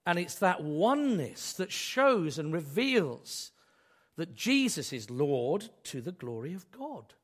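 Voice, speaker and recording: A man preaching a sermon, hoarse from a cold, asking his congregation rhetorical questions in a conversational way. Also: on the high side, very animated, takes it slowly, fairly bright tone. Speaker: pastor.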